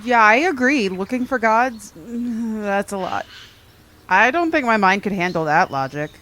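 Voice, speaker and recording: Laid-back, skeptical adventuring friend. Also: female voice, fairly high pitch, expressive, a little slow, fairly bright tone. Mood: casual. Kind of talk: scripted audio drama acting.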